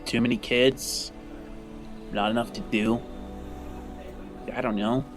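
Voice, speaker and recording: A man voicing a sullen, guarded boy in a tabletop game, speaking in terse, flat mutters. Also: medium pitch, slow pace, over music, natural voice. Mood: sullen, bored. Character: sullen, displeased sandy-haired boy, guarded and bored.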